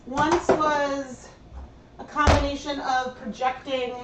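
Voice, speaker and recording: A slightly hoarse woman hosting a casual livestream tutorial, chatty and thinking aloud with plenty of fillers. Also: high-pitched, flat delivery, takes it slowly, very noisy. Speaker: livestream host dyeing yarn. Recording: roomy sound from a distant mic.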